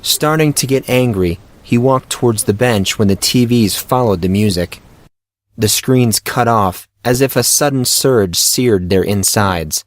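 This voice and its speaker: A man's voice: mid-range voice, a little slow. A man reading scripted fiction as the narrator of an audio drama.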